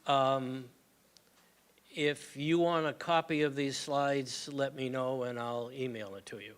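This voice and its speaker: A man's voice: medium pitch, fairly even intonation, slow, clear, resonant tone. Plain-spoken older man at a gathering. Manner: plain, practical, conversational. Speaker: community moment presenter. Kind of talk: informal health talk to a gathering. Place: meeting hall with audience.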